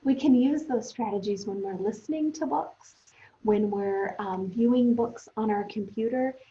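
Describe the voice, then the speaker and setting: A woman teacher thinking aloud for young pupils in a conversational, expressive read-aloud lesson, speaking to them directly. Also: mid-range voice, normal speed, lo-fi sound, slight background noise.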